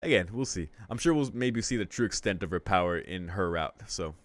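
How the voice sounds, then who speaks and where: A young man with a lowish voice reads a visual novel aloud and breaks off into casual, slangy reactions. The sound is dry and close, with no room echo, and his talk is loose and excitable. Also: fairly quick. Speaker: streamer reading a visual novel.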